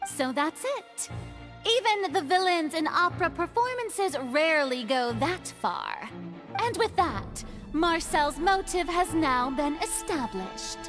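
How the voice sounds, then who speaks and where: A self-assured, theatrical young noblewoman from a game. Her high voice sweeps grandly up and down, dramatic, with music behind it. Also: very animated, takes it slowly, exaggerated voice. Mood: grandly judging. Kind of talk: video game voice acting. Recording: music under the voice.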